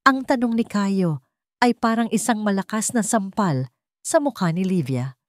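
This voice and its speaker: A woman narrates a scripted dramatic story in a measured delivery, pausing between phrases for effect. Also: medium pitch, expressive, no room sound, studio quality.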